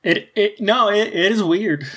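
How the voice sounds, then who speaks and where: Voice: medium pitch, unhurried, fairly bright tone, voice pushed up. A player voicing a stunned young woman mech pilot who stammers in a raised voice. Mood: uneasy.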